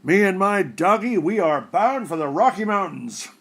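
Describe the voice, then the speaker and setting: A man's voice: sits high, animated, normal speed, a bit bright, full character voice. A man playing a henpecked, tipsy old dog owner in a storybook, with folksy, slangy talk. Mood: resolved.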